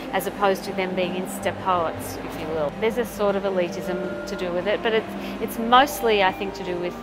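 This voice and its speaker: An older woman giving a thoughtful, analytical interview comment in a large hall. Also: lowish voice. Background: loud background music.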